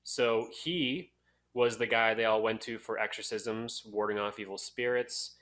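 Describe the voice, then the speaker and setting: A man hosting a casual podcast, telling stories in a halting, jokey way. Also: fairly low pitch, fairly even intonation, a bit bright. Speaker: podcast host.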